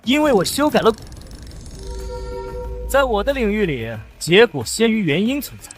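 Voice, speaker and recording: A man voicing a cocky, defiant young sci-fi hero, scornful and dramatic, in an animated comic. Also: high voice, moderate pace, soft background music. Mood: cold confidence.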